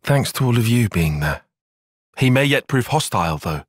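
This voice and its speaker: Game cutscene role: earnest young male tactician, bookish and analytical, animated intonation, slightly husky, dry close sound. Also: medium pitch, no room sound. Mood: calm, thoughtful. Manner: measured, formal, analytical phrasing. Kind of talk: video game cutscene voice acting.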